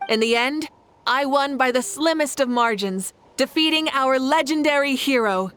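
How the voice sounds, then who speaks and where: An actress playing a proud, gracious warrior leader in a video game, speaking in a grand, measured way. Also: female voice, high-pitched, fairly bright tone, smooth. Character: proud, gracious warrior leader woman, victorious and good-humored.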